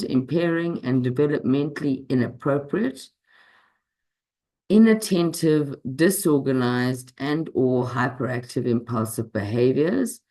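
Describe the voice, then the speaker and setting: A woman with a hoarse voice from a cold, giving a measured online medical lecture with frequent pauses and ums, heard through a computer microphone on a video call. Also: rumbling low, darkish tone, rough recording. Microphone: computer mic on a video call.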